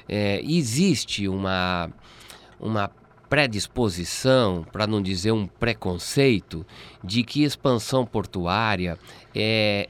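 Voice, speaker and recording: A man's voice: lowish voice, fairly bright tone. A man with a Brazilian accent giving explanatory interview answers in a didactic way, often checking with tag questions. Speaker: interviewee, port expert.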